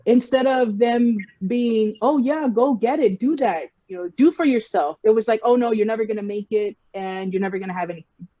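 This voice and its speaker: A woman's voice: on the high side, normal speed, mellow, no echo. A woman with a South Texas accent, speaking frankly as a guest in a casual podcast conversation. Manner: frank, conversational, many fillers. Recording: slightly muffled lo-fi sound.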